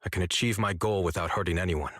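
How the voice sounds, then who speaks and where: A man voicing a determined, protective older-brother swordsman in a video game, measured and formal in his speech. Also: sits low, fairly bright tone, no room sound, studio quality. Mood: insistent. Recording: game music behind the voice.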